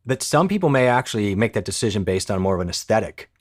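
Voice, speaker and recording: A man talks gear on a home live stream in a slightly low voice, joking and restarting his sentences as he goes. The pencil condenser mic catches him close and dry, with no room sound. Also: very animated, fast talker, dry recording. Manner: joking, rambling, frequent restarts. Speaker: co-host of a tech live stream. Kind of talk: casual live stream chat.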